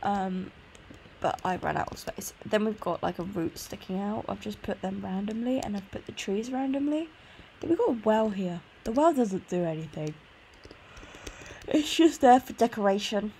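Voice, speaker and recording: A woman's voice: mid-range voice, lively intonation, normal speed, mellow, a little hiss or hum, low-quality recording. A tired woman with a congested sore throat gives an informal, meandering tour commentary on a video game. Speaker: gaming video host. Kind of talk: casual gameplay tour commentary.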